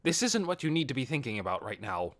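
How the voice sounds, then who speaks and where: A man's voice: medium pitch, big pitch swings, quick pace, a bit bright, no room sound. Audiobook role: undead male ruler, awkward and hesitant under a businesslike front, performed by a male reader. Dry sound. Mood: anxious, self-scolding. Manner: hesitant, sighing, then businesslike. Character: awkward, flustered undead male overlord with secret self-doubt.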